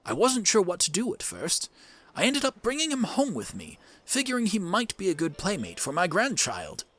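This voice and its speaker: A man's voice: sits high, wildly swooping pitch, normal speed, fairly bright tone, slight background noise, full character voice. A man voicing an older professor who narrates a monster adventure game in scripted storytelling lines. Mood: measured, storytelling.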